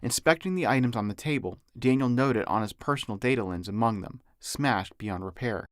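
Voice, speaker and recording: A man's voice: medium pitch, fairly quick, no echo, clean studio sound. A man, an author, reading his own novel as scripted audiobook narration.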